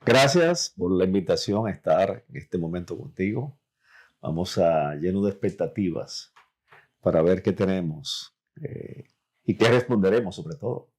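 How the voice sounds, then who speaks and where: A man's voice: low voice, lively intonation, measured pace, a little mellow. A man speaking conversationally as a guest on an interview program. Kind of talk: conversational talk.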